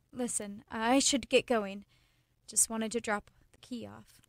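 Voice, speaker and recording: A young woman acting in a radio play as a headstrong, quick-witted girlfriend with a pointed way of talking. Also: on the high side, fairly even intonation, gravelly, dry recording, studio quality. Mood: awkward, wistful. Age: young adult. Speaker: student actor.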